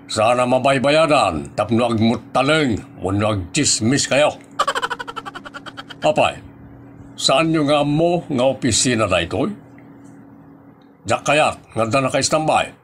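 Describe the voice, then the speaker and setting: A man with an Ilocano accent playing roles in a comedy audio drama, theatrical, swinging from bright announcing to low grumbling. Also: animated, measured pace, pauses often, a little hiss or hum. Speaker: narrator and voice actor.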